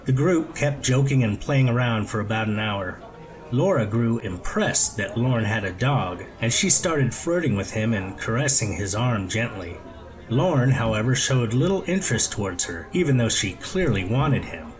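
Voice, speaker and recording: A man reads a story aloud as an audiobook narrator. His pitch sits in the middle range for a man. The delivery is scripted prose narration. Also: expressive, moderate pace, low-quality recording. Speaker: audiobook reader.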